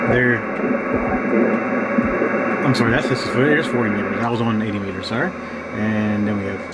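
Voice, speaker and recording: A man hosting a casual, unscripted radio hobby video, talking in a halting, low-key way as he thinks aloud. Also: very noisy. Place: home radio shack.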